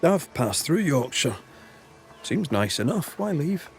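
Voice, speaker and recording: A man's voice: on the high side, very animated, slow pace, creaky, soft background music, exaggerated voice. A man voicing a blunt, seasoned ghost hunter with a Scottish accent and a dry, probing manner in a video game. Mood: probing, calm. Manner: dry, probing, plain-spoken.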